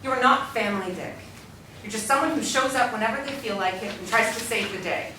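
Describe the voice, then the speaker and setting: An actress playing a bitter, sharp-tongued, accusing mother in a dramatic script reading. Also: fairly high pitch, a little fast, natural voice. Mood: hostile, worried. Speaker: actor in a staged script reading. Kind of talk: dramatic screenplay table read. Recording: echoey, distant, noisy room recording.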